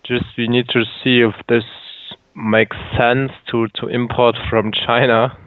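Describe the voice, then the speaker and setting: A man on a casual business call as the interviewing client, speaking in a measured, hesitant way over thin call audio. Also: mid-range voice, flat delivery, no room sound, narrow, tinny audio. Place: online call.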